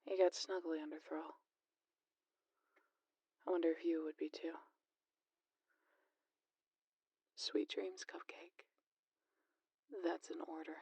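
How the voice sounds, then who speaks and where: A woman's voice: low voice, level tone, measured pace, long pauses, dark tone, breathy edge, dry recording, heavily acted. A woman playing a teasing, sarcastic, foul-mouthed vampire in a scripted roleplay audio drama, with playful, exasperated banter. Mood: hushed.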